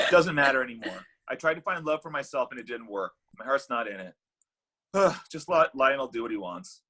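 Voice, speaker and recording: A grown-up, sarcastic Cupid played by a man in a comedy table read. The voice is husky and bright, swinging freely up and down with a brash, defeatist edge. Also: medium pitch, animated, moderate pace. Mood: exasperated.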